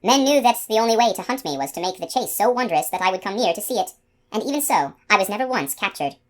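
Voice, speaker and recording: A regal old unicorn mare , as a woman reads her aloud, speaks in a raised, lifted voice. Her words come measured and dignified, full of wonder and pride. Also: medium pitch, lively intonation, voice pushed up, natural voice. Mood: proud musing. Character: proud ancient female unicorn, wondering and regal. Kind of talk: storybook reading.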